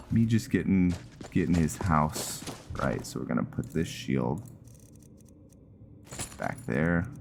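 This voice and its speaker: A man's voice: extremely low pitch, gravelly, background noise. A man giving casual, conversational let's-play commentary, musing aloud as he plays. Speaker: gaming streamer, host.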